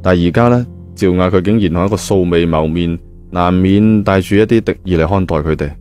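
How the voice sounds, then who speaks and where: A man's voice: sits low, a little fast, a little mellow. A man with a Cantonese accent narrating a novel in a colloquial, conversational storytelling style. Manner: conversational, with frequent spoken particles. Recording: music bed behind the voice. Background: background music.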